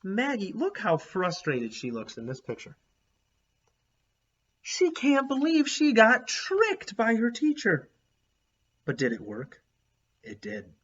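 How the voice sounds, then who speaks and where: A man with a fairly high voice reads a children's book aloud in an expressive teacherly style. He leans on key words and speaks directly to young listeners. The recording is a little dull and lo-fi. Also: takes it slowly, long pauses, breathy edge. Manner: animated, emphatic storytelling with questions to listeners.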